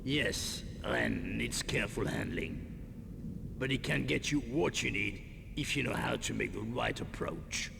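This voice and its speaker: A gravel-voiced underworld fixer. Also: male voice, measured pace. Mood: scheming. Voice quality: deep gravelly voice. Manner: guarded, curt, menacing. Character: gravelly shady male Paris underworld fixer. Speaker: character in a video game cutscene. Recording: game audio with long reverb and noise.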